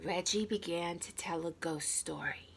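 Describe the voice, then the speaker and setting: A woman reading a children's story aloud like a teacher, deliberate and expressive, with dramatic pauses. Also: fairly low pitch, vocal fry, slight background noise.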